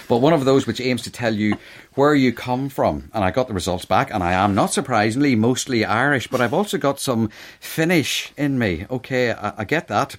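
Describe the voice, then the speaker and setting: A man presenting a radio programme in a wry, conversational way. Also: lowish voice. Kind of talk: scripted radio presentation and interviewing.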